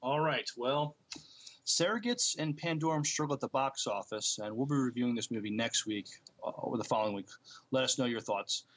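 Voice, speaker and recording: Male podcast co-host, medium pitch, plain-spoken and a little halting, reads and discusses entertainment news. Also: a bit bright.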